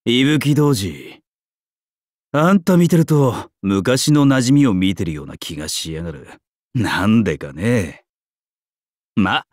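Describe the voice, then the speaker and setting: An actor playing a brash, easily flustered young male warrior in a game, with rough, slangy, blunt speech. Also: medium pitch, dry recording, clean studio sound. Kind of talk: Japanese game character voice lines.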